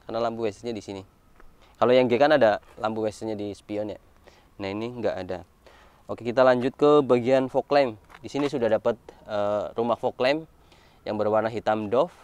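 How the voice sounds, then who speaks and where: A man's voice: lowish voice, a little mellow, smooth. A man giving a casual walkaround car review, explaining things informally point by point.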